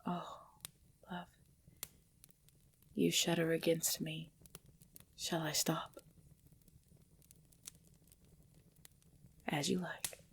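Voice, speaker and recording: A woman's voice: sits low, level tone, creaky, husky, heavily acted. A woman voicing an ancient, possessive vampire lover in an intimate ASMR roleplay, speaking breathily and formally, often dropping into a whisper. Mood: tender, possessive. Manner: slow, formal, archaic phrasing, hushed.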